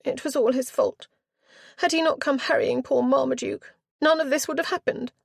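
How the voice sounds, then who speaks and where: A woman voicing a petulant, self-centred young Regency heiress who talks in a high, sulky, easily wounded way. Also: moderate pace, no room sound, clean studio sound. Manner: sulky, high-strung, rising to tearful.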